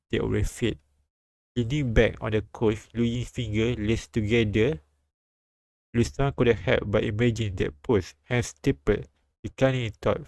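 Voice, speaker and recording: A man streaming a live read-aloud of a visual novel, halting and repeating lines as he goes. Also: fairly low pitch, creaky, dry recording, poor audio.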